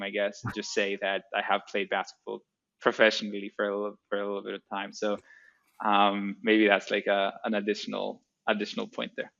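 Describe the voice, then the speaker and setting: A man from Greece with a low voice, heard through dull, muffled lo-fi audio. He answers as an interview guest, hesitant and casual, restarting sentences often. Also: fairly bright tone. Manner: hesitant, many restarts and fillers. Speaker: guest, startup co-founder.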